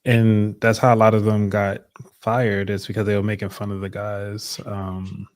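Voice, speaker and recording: A man's voice: fairly low pitch, flat delivery, moderate pace, a little mellow, dry recording. A man co-hosting a casual podcast discussion, talking in a loose, rambling way with many fillers. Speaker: podcast co-host.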